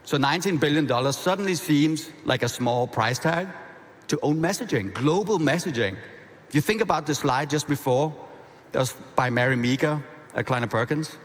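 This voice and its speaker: A man with a Danish accent giving a conversational, energetic conference keynote, often restarting his phrases. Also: a little high, normal speed. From half Danish. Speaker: keynote speaker. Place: large conference hall. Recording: reverberant hall.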